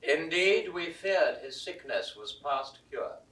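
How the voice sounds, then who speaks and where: A blunt, disapproving earl in a 1960s Shakespeare audio play. He declaims formally in a sharp, fairly high voice with sweeping intonation. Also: lively intonation, takes it slowly, heavily acted, poor audio. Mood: scornful. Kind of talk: 1960s classical Shakespeare audio drama acting.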